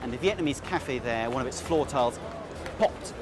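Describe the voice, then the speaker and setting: A man's voice: medium pitch, lively intonation, moderate pace. Male street reporter with music behind him. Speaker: newspaper video reporter. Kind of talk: on-location news report.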